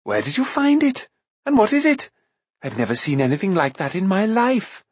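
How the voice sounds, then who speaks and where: A quick, scornful young girl from a storybook, her voice pitched high and lively, as a man puts her on. The tone is breathy and dry. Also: no echo, heavily acted.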